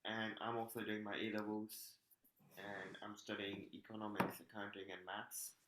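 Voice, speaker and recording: A teenage male student from Japan, answering calmly and a little haltingly with ums. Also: lowish voice, a little hiss or hum. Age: late teens. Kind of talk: casual answers on a video call. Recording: roomy, echoey laptop audio.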